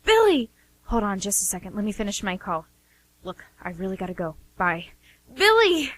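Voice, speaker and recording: A woman's voice: medium pitch, huge pitch swings, moderate pace, fairly bright tone, rough recording. A woman playing an anxious, chatty sister who speaks in a hesitant, earnest ramble in a radio play. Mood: delighted, then hurried. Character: anxious, chatty sister defending her wayward brothers. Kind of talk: amateur radio play dialogue.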